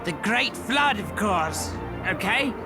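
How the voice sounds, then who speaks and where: An actor voicing a crazed, manic prophet of doom in an animated game, ominous and cackling. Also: medium pitch, takes it slowly. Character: frantic, crazed doomsaying prophet creature. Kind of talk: animated game cutscene acting. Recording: loud music behind the voice.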